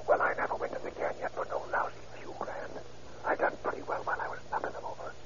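An actor playing a scheming, hardened convict and mail robber, talking in hushed gangster slang in a 1930s radio crime drama. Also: male voice, fast talker, background noise, close to an everyday voice. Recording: dull, lo-fi old radio recording.

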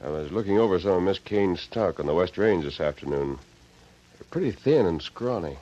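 Deep-voiced masked Western radio hero. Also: male voice, expressive, a little fast, dark tone. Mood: calm, assured. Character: masked Western hero, calm, commanding, honorable man. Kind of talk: old-time radio drama acting. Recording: muffled vintage radio recording with noise.

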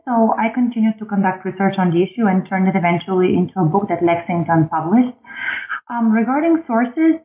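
Woman on a tinny phone line. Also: mid-range voice. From Bulgaria. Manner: measured, with frequent ums and uhs. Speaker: guest author. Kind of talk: interview answers.

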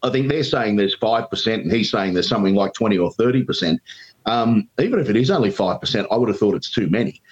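A man's voice: lively intonation, fast talker. A man with an Australian accent, a radio talkback host, giving emphatic opinions with frequent ums as a guest. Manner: emphatic, pitch leaps when indignant, frequent ums. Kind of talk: opinionated commentary in an interview. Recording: dull lo-fi remote line.

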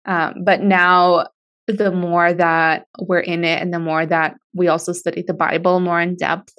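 A woman's voice: fairly low pitch, little pitch movement, a little slow. A woman whose first language is Russian, a podcast guest talking casually and earnestly about church. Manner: earnest, explanatory, with soft laughs. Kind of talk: casual conversation.

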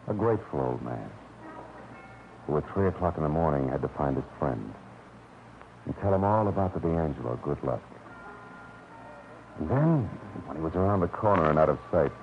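Deep-voiced hard-boiled private eye. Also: male voice, full character voice. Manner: terse, clipped first-person narration. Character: hard-boiled male private detective, dry and steady. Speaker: narrator and lead. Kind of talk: 1950s radio drama acting. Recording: tinny, phone-like old radio recording with music.

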